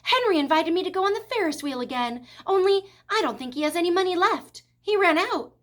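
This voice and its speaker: A woman's voice: very high voice, animated, brisk, fairly bright tone, voice pushed up. A woman voicing an eager young farm girl from a storybook, pleading in manner.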